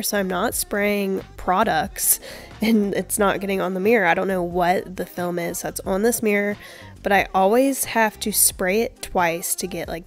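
A young woman narrating her house cleaning in a chatty, upbeat voice-over. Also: mid-range voice. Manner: chatty, upbeat, with small laughs. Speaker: home cleaning vlogger.

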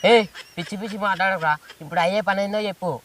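Man acting, Telangana Telugu accent, sharp bright voice, very fast, blunt, distant mic. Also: sits high, steady tone, slight background noise. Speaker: comedy skit actor.

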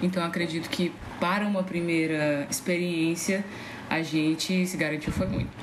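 A woman's voice: on the low side, fairly even intonation, normal speed, background noise. A woman with a northeastern Brazilian accent from Ceará, chatting casually and colloquially as a podcast guest. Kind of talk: casual chat.

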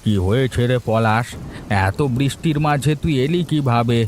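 A man's voice: medium pitch, normal speed, a little mellow, slight background noise, close to an everyday voice. A man playing a concerned, principled older village uncle in a Bengali cartoon, speaking in an earnest, rustic, familiar way. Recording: music behind the voice.

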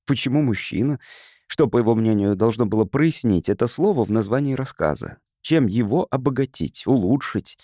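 Male narrator for literary audiobook; mellow, animated intonation, measured storytelling, dry close mic. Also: mid-range voice, dry recording. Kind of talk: scripted literary narration, reading a science fiction story.

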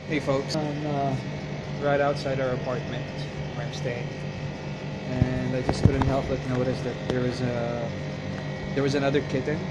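A young man from the Middle East, vlogging in a chatty, rambling way and often correcting himself, filmed on a camera held at arm's length outdoors, in a metro station and in a cafe. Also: mid-range voice. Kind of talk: casual vlog narration. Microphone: selfie camera at arm's length. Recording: dull lo-fi camera audio with loud street noise.

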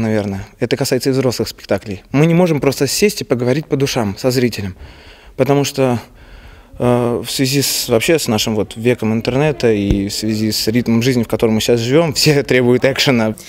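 A man's voice: medium pitch, fast talker. A man in his twenties giving an earnest, discursive spontaneous television interview as a stage actor. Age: 20s. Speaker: theatre actor. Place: empty theatre auditorium, handheld reporter's mic.

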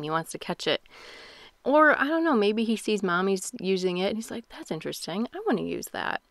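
A young woman chatting casually in a relaxed voice-over about cleaning her home and her baby son. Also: lowish voice, creaky. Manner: chatty, relaxed, self-deprecating. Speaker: vlogger, mom.

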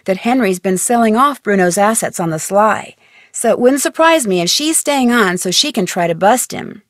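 An actress voicing a curious, plucky young female detective in a video game, with a brisk, wry tone. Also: mid-range voice, dry recording, clean studio sound, close to an everyday voice. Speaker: game character, teen detective.

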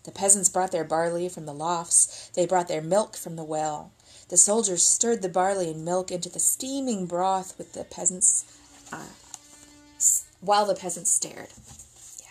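A woman's voice: fairly low pitch, measured pace, a little mellow, rough recording. A middle-aged woman reading a picture book aloud expressively as a storytime reader, indoors at a table.

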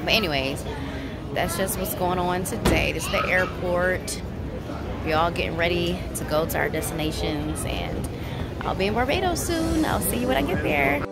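A woman's voice: fairly low pitch, moderate pace, vocal fry, loud music behind the voice. Tired, chatty woman vlogging on a phone. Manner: chatty, rambling, self-mocking. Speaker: travel vlogger. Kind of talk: casual selfie vlog. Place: car, then busy airport terminal. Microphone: phone at arm's length.

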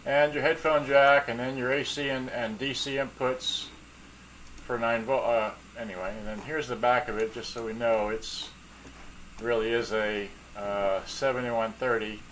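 A man's voice: mid-range voice, fairly even intonation, a bit bright. Rambling man, noisy workshop recording. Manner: rambling, halting, talking as he works. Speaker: hobbyist repair video maker. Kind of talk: casual off-the-cuff how-to commentary. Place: cluttered indoor workbench at home. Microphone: camera mic, off-screen speaker.

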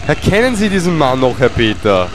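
A man's voice: mid-range voice, sing-song, a little fast, faint music behind. A man doing comedic wrestling commentary, joking around and dropping in mock-French phrases.

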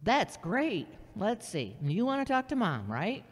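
A woman's voice: medium pitch, sing-song, unhurried, husky. A woman playing a confident, dry-witted older sister in an audio drama. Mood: teasing. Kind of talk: amateur audio drama acting. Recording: long hollow reverb.

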